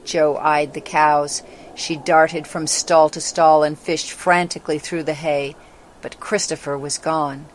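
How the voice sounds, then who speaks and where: A female voice, set low, reads a children's story. The delivery is scripted, dramatic narration. Some background noise sits behind the voice. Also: little pitch movement, measured pace, low-quality recording.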